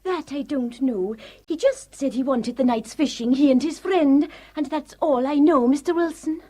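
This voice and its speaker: A woman's voice: sits high, normal speed, warm tone, natural voice. An actress playing a guarded, deferential local woman in a radio drama, with simple dialect turns of phrase.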